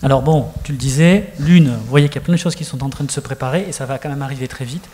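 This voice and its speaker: A man's voice: mid-range voice, fast talker, dark tone, a little hiss or hum. A middle-aged man hosting a studio interview, speaking conversationally and steering the talk with questions into a handheld mic. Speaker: host. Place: studio set with screens.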